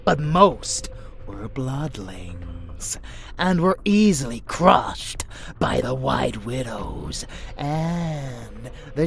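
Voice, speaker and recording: A man playing an unhinged, swaggering, seductive vampire lover in a dark romance audio roleplay, slow and theatrical, often slipping into a gravelly whisper. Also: fairly low pitch, background noise, full character voice.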